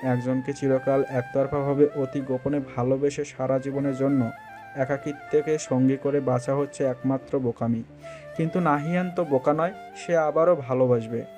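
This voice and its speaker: A man's voice: mid-range voice, steady tone, moderate pace, over music. A man reading scripted narration for a romantic audio story.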